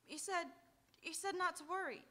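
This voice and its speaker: Woman playing a high, pleading crime-drama dame. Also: fairly even intonation, moderate pace, sharp tone. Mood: distraught. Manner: pleading, melodramatic. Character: distraught, protective woman caught in a murder. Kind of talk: old-time radio crime drama acting. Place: auditorium stage, live radio theatre.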